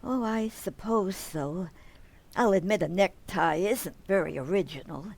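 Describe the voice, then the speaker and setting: A woman's voice: fairly low pitch, measured pace, vocal fry, a little mellow, dry recording. A woman playing an eagerly curious, chirpy, insistent housewife in an old-time radio comedy. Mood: cheerful.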